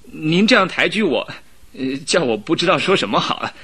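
Lively, easily astonished young clerk. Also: male voice, a little high, brisk, slightly rough, full character voice. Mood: flustered. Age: young adult. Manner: modest, hesitant, wondering. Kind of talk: Mandarin radio drama acting.

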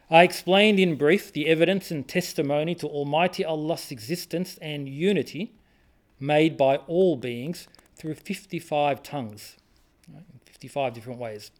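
A man leading a study group, reading passages of a religious text aloud and explaining them in an informal, hesitant way with many ums and restarts. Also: fairly high pitch. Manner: informal and conversational. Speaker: study circle leader.

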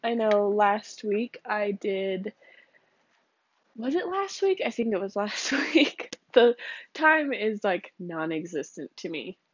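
A woman's voice: mid-range voice, very animated, slow pace, slightly rough, highs cut off. A young woman with a cold, chatting casually and rambling on her podcast from home, with lots of ums and likes. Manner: rambling, casual, laughs often, says um and like. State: sick with a cold and cough. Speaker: podcast host. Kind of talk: casual solo podcast chat. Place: home room with a fan.

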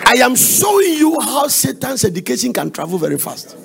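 A man with a Ghanaian accent preaching in a raspy voice, emphatic and repetitive, putting questions to his congregation. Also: very high-pitched, wildly swooping pitch, brisk, music in the background, crowd noise. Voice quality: raspy, gravelly. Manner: emphatic, repetitive, conversational asides.

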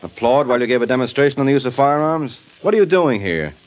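A man acting a suave, wry, hard-boiled private detective in an old-time radio drama, cool and quick with a wisecrack. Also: mid-range voice, very animated, natural voice. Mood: sardonic. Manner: wisecracking, coolly unruffled. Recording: noisy vintage radio recording.

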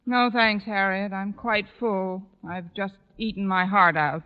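An actress playing a wry, deadpan schoolteacher in a 1940s radio comedy. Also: female voice, medium pitch, measured pace, background noise, natural voice. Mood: rueful, wry. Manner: deadpan, wisecracking. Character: wry, sardonic female high school English teacher, adult. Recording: old radio broadcast, muffled, long reverb.

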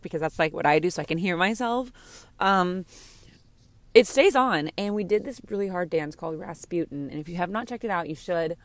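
Female podcast host, lower-pitched and a little hoarse, rambling candid chat, dry in-car sound. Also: no room sound. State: slightly hoarse. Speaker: podcast host and game streamer. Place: inside a parked car.

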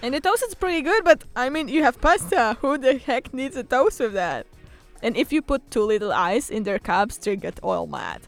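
A woman's voice: on the high side, sing-song, moderate pace, vocal fry, few pauses, soft background music. A young Polish woman co-hosting a podcast, chatting animatedly with frequent laughter and mild swearing. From Poland. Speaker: podcast co-host. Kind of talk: casual podcast chat. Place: indoors, filmed on camera. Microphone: camera setup.

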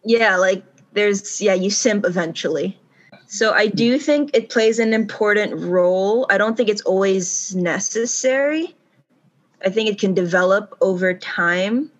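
This is a woman co-hosting a casual podcast who rambles, corrects herself mid-sentence and laughs easily. Her pitch sits in the ordinary middle range for a woman. The recording sounds a little dull and lo-fi. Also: measured pace. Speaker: podcast co-host. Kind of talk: casual chat.

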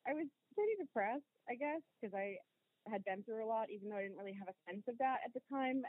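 An American woman in her thirties from Rhode Island talks casually and candidly as an interview guest, often pausing to find words. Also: fairly high pitch, no room sound, phone-quality sound. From Rhode Island, United States. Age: 30s. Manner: informal, candid, with hesitant pauses and hedging. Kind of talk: casual personal conversation.

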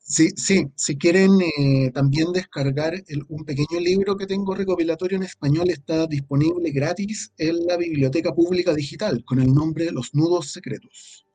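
A man with a husky, slightly high voice talks casually as a guest. The sound is close and very dry, with no room around it. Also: moderate pace, few pauses, dark tone, no room sound. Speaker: guest, audio drama creator. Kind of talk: casual conversation. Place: online video call.

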